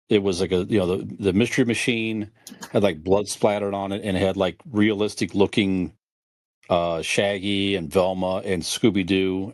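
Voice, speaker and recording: A man's voice: low-pitched, dry recording, clean studio sound. A man co-hosting a podcast, chatting casually and easygoing, often repeating a phrase for emphasis. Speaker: podcast co-host.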